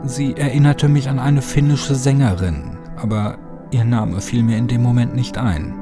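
A man's voice: mid-range voice, steady tone, moderate pace, over music. A man reading a scripted horror story as an audiobook narrator.

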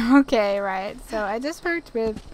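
A woman's voice: on the high side, animated, a little slow, darkish tone, rough recording. A woman giving casual gameplay commentary, easygoing and rambling as she thinks aloud. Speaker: video game player and commentator.

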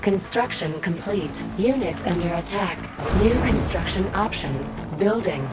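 Loud game music runs under a female battle computer played by a woman. She delivers short status announcements in a mid-range voice, measured and impersonal, as a strategy game announcer. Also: medium pitch, lively intonation, close to an everyday voice. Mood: impersonal, calm. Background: loud music and game battle sounds.

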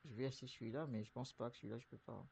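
Gravelly man with the flu, rambling. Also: medium pitch, fairly even intonation, racing, a little mellow, slight background noise. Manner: rambling, hesitant, many restarts and fillers. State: has the flu. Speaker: streamer playing a trucking game. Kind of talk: casual gameplay commentary.

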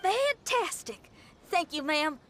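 Bright, brash young rocker girl. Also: extremely high pitch, sing-song, slow, slight background noise, heavily acted. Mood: upbeat, generous. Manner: folksy, colloquial. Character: young woman rock musician, brash, upbeat and generous. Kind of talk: video game voice acting.

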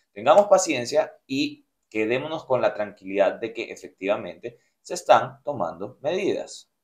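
A man in his thirties hosting a cruise news show, reading headlines and then commenting earnestly with many fillers and restarts, in a small indoor room. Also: mid-range voice. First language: Spanish. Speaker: cruise news host and travel agent. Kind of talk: news roundup with personal commentary. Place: small indoor room, plain beige wall.

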